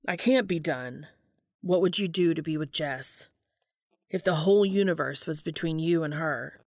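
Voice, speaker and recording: Woman reading as a subdued, weary man, low-toned. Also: normal speed, darkish tone, dry recording, clean studio sound. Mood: pleading. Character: weary adult man, earnest and longing brother.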